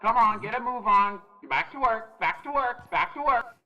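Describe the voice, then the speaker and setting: A man's voice: sits high, lively intonation, normal speed, pushed and bright, dull audio, faint music behind, pitched above the performer's own voice, full character voice. A man voicing a bossy, nagging taskmaster who impatiently barks clipped commands. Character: bossy nagging taskmaster hurrying someone along.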